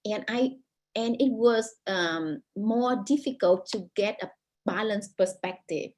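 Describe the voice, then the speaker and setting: Vietnamese-accented woman author, soft husky voice. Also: medium pitch. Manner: thoughtful, many restarts and pauses. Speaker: author, guest. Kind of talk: interview answer over video call. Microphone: video call.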